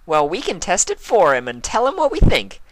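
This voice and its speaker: A man's voice: high-pitched, huge pitch swings, fairly quick, noisy background, voice pushed up. A man voicing a bubbly, hyper young female cartoon pony with a gushing, girlish delivery in a fan story reading. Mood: playful, chatty.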